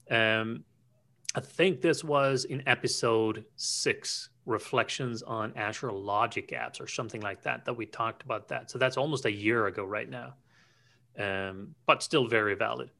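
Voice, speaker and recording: A man's voice: mid-range voice, sharp tone. A man co-hosting a casual technical podcast, explaining concepts at length with frequent restarts and fillers. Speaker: podcast co-host.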